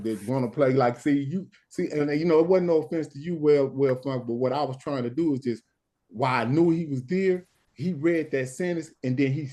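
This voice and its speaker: Halting, tired late-night stream host. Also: male voice, medium pitch, darkish tone. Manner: halting, many restarts and "hold on". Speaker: stand-in host of a live stream. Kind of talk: casual live-stream talk.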